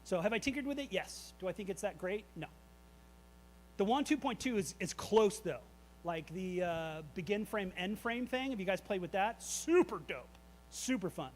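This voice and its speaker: High-voiced man answering questions at a meetup. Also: animated, normal speed, a little hiss or hum, poor audio. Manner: loose and candid, fillers and swearing. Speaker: invited artist answering audience questions. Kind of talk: casual presentation Q&A. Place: event space at a meetup.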